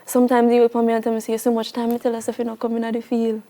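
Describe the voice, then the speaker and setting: A woman's voice: on the high side, completely flat delivery, rapid-fire, mellow. A twenty-year-old woman with a Jamaican accent talking casually and earnestly as an interview guest, often emphatic. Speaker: interview guest, young mechanic.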